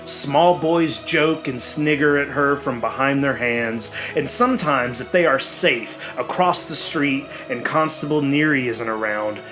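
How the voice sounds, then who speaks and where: A man delivers scripted horror narration at a slow pace, letting pauses hang for suspense. His reading is dramatic and deliberate, in a medium-pitched voice. Music plays clearly behind him. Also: fairly bright tone, low-quality recording. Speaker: narrator of a horror audio story. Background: clearly audible music.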